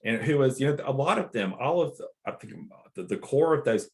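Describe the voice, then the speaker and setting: A man's voice: mid-range voice, expressive, fairly quick. A man talking in a book club chat, recounting film moments with enthusiasm. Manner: warm, enthusiastic about the film.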